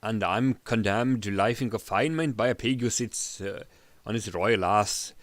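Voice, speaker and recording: A man's voice: lowish voice, moderate pace, no echo, lightly acted. A man voicing a proud barbarian prisoner, declaiming indignantly in a fantasy story reading. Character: proud, defiant male barbarian warrior prisoner.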